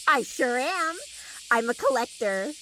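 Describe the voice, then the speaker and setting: A woman's voice: high-pitched, animated, unhurried, sharp tone, slight background noise, exaggerated voice, rough recording. A woman voicing an eager, morbid teenage girl collector in an anime fan dub. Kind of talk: anime fandub acting.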